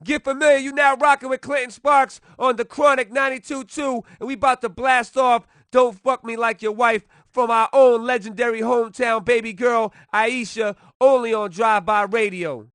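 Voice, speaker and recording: A man's voice: extremely high pitch, steady tone, moderate pace, few pauses, fairly bright tone, no echo, clean studio sound. A man performing as a brash, hyped-up hip-hop radio DJ, announcing in punchy, slangy, promotional patter. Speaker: radio DJ host.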